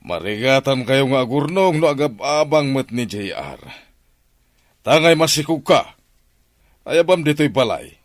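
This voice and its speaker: A man's voice: medium pitch, expressive, a little slow, long pauses, dry recording, clean studio sound, natural voice. A man playing a concerned, firm father questioning his grown daughter in audio drama dialogue. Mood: scolding, firm.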